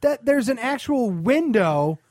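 A man's voice: extremely high pitch, big pitch swings, unhurried, a little mellow, no room sound, studio quality. An American man co-hosting a podcast, bantering casually with a sardonic, wisecracking manner. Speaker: podcast co-host.

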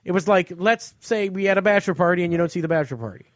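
A man's voice: high-pitched, very fast, no room sound. An American man hosting a podcast, chatting casually and profanely about movies in a studio. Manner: profane, conversational. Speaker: podcast host. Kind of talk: casual movie review chat.

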